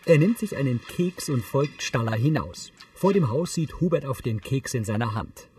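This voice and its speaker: A man's voice: medium pitch, lively intonation, normal speed, a little mellow, slight background noise. A man reading scripted audio description in a factual, concise manner. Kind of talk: scripted present-tense narration.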